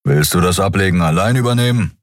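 A man's voice: low-pitched, lively intonation, fast, no room sound, clean studio sound. A man voicing a grumpy, suspicious male alien mechanic in an audiobook, grumbling as he talks.

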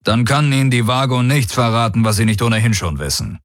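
A bold alien warrior lord played by a male narrator, pitched well above his plain voice in a dry studio sound. He is commanding and self-assured, with cool mockery, in science-fiction audiobook style. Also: expressive, fairly quick, no room sound, natural voice. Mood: confident. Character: proud, bold alien warrior warlord, coolly mocking. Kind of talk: audiobook character dialogue.

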